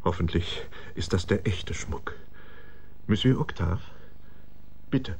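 An actor playing a nervous, effusive insurance businessman in a radio drama, excitable and given to stammering and repeating himself. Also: deep voice, slow pace, breathy edge, exaggerated voice. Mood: anxious.

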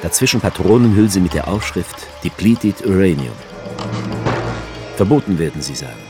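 A deep, dry ironic author-narrator. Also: male voice, lively intonation, moderate pace, over music. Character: male author, ironic, detached, first-person observer. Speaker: narrator-author figure in a radio play. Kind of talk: radio play narration.

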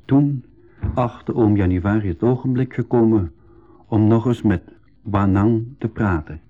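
A man narrating a radio drama as a reflective crew member, in deliberate storytelling style on a slightly dull recording. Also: fairly low pitch, faint music behind, close to an everyday voice. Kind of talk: scripted first-person radio drama narration.

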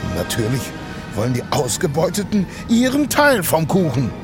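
Stage sea rescuer: earnest, declamatory, high male voice, swinging intonation. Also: huge pitch swings, moderate pace, over music. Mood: solemn, impassioned. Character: earnest, impassioned African sea rescuer of migrants. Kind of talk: theatrical stage monologue, satirical revue.

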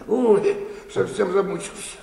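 An actor playing a worn-out, hot-tempered old bank bookkeeper who grumbles and mutters to himself in a radio play. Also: male voice, sits high, unhurried, creaky, noisy background, exaggerated voice. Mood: exhausted complaint. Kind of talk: theatrical radio play acting. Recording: echoey, reverberant studio hall.

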